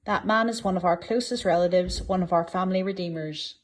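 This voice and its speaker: A woman's voice: lowish voice, a little fast, natural voice. A woman playing a caring, protective older mother-in-law in a dramatised Bible reading. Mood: reassuring.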